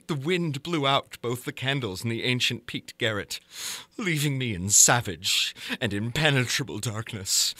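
A male storyteller reads old gothic horror with great theatrical weight. His voice is sharp, tense and very bright, at a middling pitch. He phrases deliberately, swelling from hushed to intense, in a dry, close recording. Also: dry recording. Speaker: narrator reading a horror story. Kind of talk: dramatic reading of gothic horror fiction.

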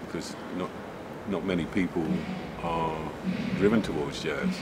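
A man in his fifties, a jazz singer, talking in a relaxed interview with a bass baritone voice and a habit of saying you know. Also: a little slow, heavy background noise. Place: club lounge indoors. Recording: loud music behind. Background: loud music.

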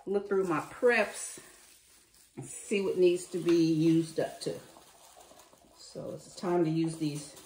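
Slow-talking woman in an echoey kitchen. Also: fairly low pitch, a little mellow, far from the mic, slight background noise. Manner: homey and chatty, talking to viewers as she works. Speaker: cooking channel host. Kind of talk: casual meal-prep chat while cooking. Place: home kitchen. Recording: echoey room, mic set back from her.

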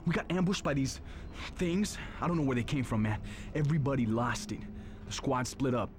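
An agitated, slangy soldier from a video game, voiced by a man. His pitch is average and he sounds rattled, with clear noise around him. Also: brisk, natural voice. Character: rattled, foul-mouthed young soldier. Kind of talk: video game cutscene acting.

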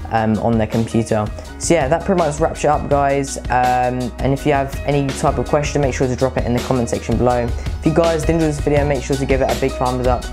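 A man's voice: mid-range voice, darkish tone. A young man in his late teens giving a casual, chatty product review to camera, often repeating words for emphasis. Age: young adult, late teens. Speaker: tech reviewer presenting to camera. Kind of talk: casual scripted-free product review to viewers. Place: small bright room at home. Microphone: clip-on lapel mic.

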